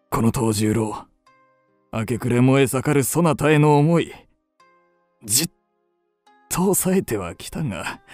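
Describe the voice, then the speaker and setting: An aging kabuki star, ardent and scheming, in a period radio play. He draws out his theatrical, archaic lines slowly with a creaky grain. The voice is recorded dry and close. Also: mid-range voice, dark tone, no room sound. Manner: theatrical, archaic stage Japanese phrasing. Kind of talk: period radio drama acting.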